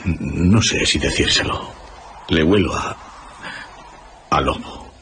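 A man's voice: very deep voice, slow, a bit bright, a little hiss or hum, exaggerated voice. An actor playing an ominous, confiding man who smells of wolf and unsettles dogs, in a radio drama.

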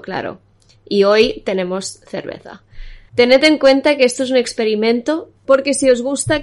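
A young woman hosting a casual podcast, chatty and quick with asides, often laughing. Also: fairly high pitch. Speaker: podcast host.

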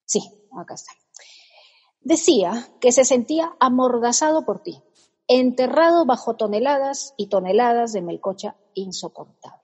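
An actress playing a blunt, sarcastic woman therapist who is also a friend, in a radio comedy, sharp-tongued. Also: fairly high pitch, lively intonation, moderate pace, breathy edge, long reverb tail, highs cut off. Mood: lecturing.

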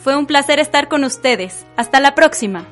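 Mexican lady radio host reading a script, kinda high voice, soft music behind. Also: animated, normal speed.

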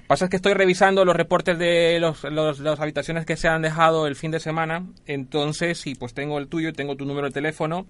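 A man's voice: on the high side, flat delivery, fairly quick, hardly any pauses, fairly bright tone, lightly acted. A man playing a polite, insistent hotel administrator on a prank call, officious and apologetic as he repeats himself. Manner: officious, apologetic, repetitive, hesitant phrasing. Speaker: radio prank caller. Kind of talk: prank phone call, improvised role-play.

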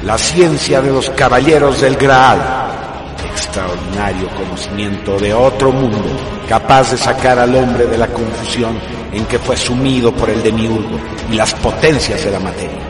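A man's voice: mid-range voice, moderate pace. A man narrating a radio drama from a script in a grave, declamatory manner. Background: prominent music.

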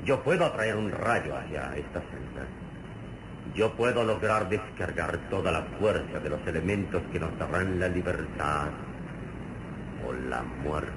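An actor playing a calm, all-knowing male hero in a vintage radio serial, solemn and deliberate. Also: deep voice. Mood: solemn, confident. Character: calm, all-knowing heroic male adventurer, mentor to a boy. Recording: noisy old radio serial recording.

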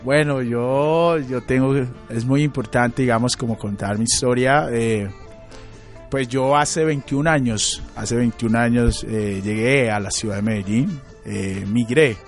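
A Colombian man in his late thirties, a psychologist and radio guest, answering in a thoughtful, halting way with many fillers and restarts. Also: medium pitch, faint music behind. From Colombia, Chocó. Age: late 30s. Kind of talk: reflective interview answers.

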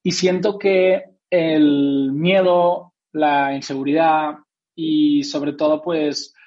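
Dull-sounding young man, higher-pitched voice. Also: steady tone, extremely slow, a little mellow. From Spain. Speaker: interviewed author. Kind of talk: reflective interview conversation. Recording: dull, lo-fi audio.

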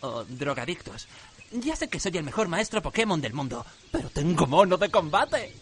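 A man playing an obsessive, excitable monster trainer in his twenties in a comic radio play. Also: a little high, moderate pace, slight background noise. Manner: manic, excitable.

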